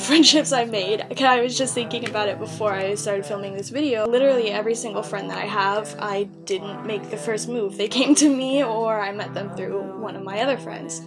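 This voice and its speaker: A young adult woman with a slightly high voice chats to her viewers in a personal vlog. She is candid and self-deprecating, rambling as she goes. Guitar music plays clearly behind her. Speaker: YouTube vlogger. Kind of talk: casual personal vlog to camera. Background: soft guitar music.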